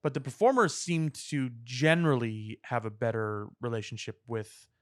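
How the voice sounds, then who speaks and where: A man's voice: mid-range voice, animated, normal speed, no echo, clean studio sound. A man co-hosting a casual pop culture podcast in a wry, joking way. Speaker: podcast co-host. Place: podcast studio.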